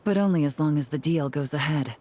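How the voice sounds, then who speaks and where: A woman's voice: low voice, a little fast, warm tone, slight background noise, close to an everyday voice. A woman playing a cool, calculating strategist in a video game, composed and firm in her delivery.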